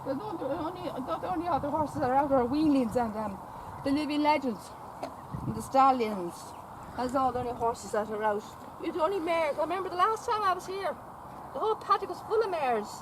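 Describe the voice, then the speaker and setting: A woman with a high voice stands outdoors in a stud farm paddock, cooing at a mare. She repeats herself and trips over words as she chats. Wind and farm sounds come through clearly around her camera audio. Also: fairly quick. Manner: repeats phrases, stammers on words; coaxing baby talk to the mare. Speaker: vlogger visiting a stud farm. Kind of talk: casual talk to a horse and companion. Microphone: camera held by companion.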